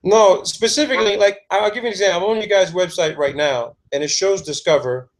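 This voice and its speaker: A man's voice: high voice, brisk. A man calling to ask questions, stammering and eager as he talks. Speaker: caller. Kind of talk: phone conversation.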